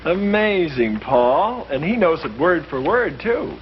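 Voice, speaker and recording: An earnest man lectures with a lively, swooping delivery that rises and falls as he stresses his points. He sounds like an old 1950s film reel, muffled and dull, with a faint hiss under him. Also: fairly high pitch, slow. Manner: earnest, emphatic, explanatory. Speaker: lecturer explaining history to friends. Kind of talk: scripted 1950s film dialogue.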